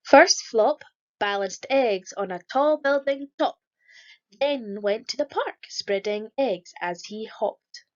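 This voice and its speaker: A woman reading a rhyming children's picture book aloud in a lively, sing-song storytelling manner. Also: a little high, takes it slowly, highs cut off. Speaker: storybook reader.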